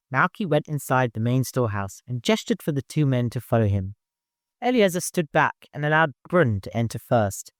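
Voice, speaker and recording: A man's voice: mid-range voice, dry recording, studio quality. A man reading a story aloud as scripted audiobook narration.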